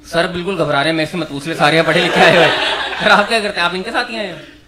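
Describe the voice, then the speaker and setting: A man in his thirties doing stand-up, teasing audience members in quick back-and-forth banter on a club stage with a handheld mic. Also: high-pitched, heavy background noise. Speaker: stand-up comedian. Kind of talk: crowd-work roast.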